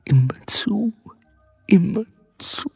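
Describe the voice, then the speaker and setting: A woman playing a tormented, jealous soldier in a radio play, muttering in halting, whispery, repeated fragments. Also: mid-range voice, lively intonation, vocal fry, mellow, breathy edge, heavily acted. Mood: anguished jealousy. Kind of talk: dramatic radio play monologue. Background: music.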